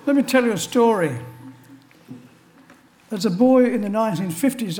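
A man, preaching a chapel sermon and telling a personal story with dry humorous asides. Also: high-pitched. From Australia. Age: 70s.

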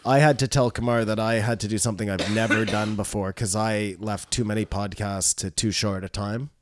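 A man's voice: lowish voice, fairly even intonation, fairly quick. A man co-hosting a podcast, chatting casually with a wry, teasing manner. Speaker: podcast co-host. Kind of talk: casual chat.

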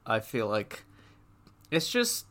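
A man co-hosting a gaming podcast, chatting casually in an opinionated, halting way as he rephrases his thoughts. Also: on the low side, big pitch swings, a bit bright. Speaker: podcast co-host.

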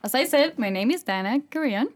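A woman's voice: a little high, animated, fairly quick. A woman in her twenties, an intern co-hosting a podcast in a friendly, easygoing way. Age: 20s. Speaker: intern and co-host. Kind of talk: casual podcast chat.

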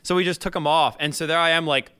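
A man hosting a podcast in casual chat, telling stories in an animated way and mouthing drum parts. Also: fairly high pitch, quick pace, a little hiss or hum. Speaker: podcast host. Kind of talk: casual chat about drumming.

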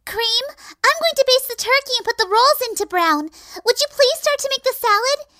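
A woman's voice: very high voice, expressive, fairly quick, sharp tone, heavily acted. A woman playing a perky, chirpy young hedgehog girl in a radio play. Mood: cheerful, busy. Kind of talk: scripted radio play acting.

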